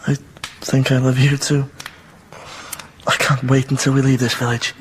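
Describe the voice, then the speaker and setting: A young man in love played by an actor, boyish and colloquial, in a radio play. Clear seaside noise runs behind his voice. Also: mid-range voice, level tone, normal speed, natural voice. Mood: tender, eager. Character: young village lover, eager, nervous boyfriend. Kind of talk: naturalistic radio drama acting.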